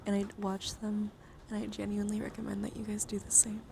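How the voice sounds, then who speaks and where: A woman livestreaming in a casual ASMR style, chatting softly and informally to viewers and often slipping into a breathy whisper. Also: medium pitch, monotone, a little fast, noisy background. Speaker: livestreamer hosting a card deck stream.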